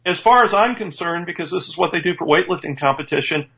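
A man's voice: on the high side, animated, fast, vocal fry, a bit bright. A man in his fifties, a strength coach, chatting enthusiastically on a radio show and stumbling into restarts now and then. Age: 50s. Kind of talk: casual radio show chat.

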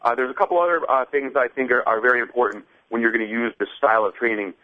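A man of thirty, a guest on a radio show, calmly explaining his workout methods step by step. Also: mid-range voice, very fast, narrow, tinny audio. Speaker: fitness guest. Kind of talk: casual interview answers.

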